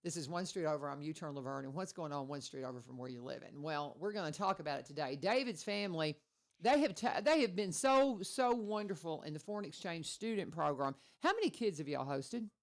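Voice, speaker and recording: Lady hosting a radio chat show, kinda low voice, rambles and restarts a lot. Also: expressive, a little fast. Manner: chatty, rambling, with restarts and laughs. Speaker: radio host. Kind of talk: casual radio interview.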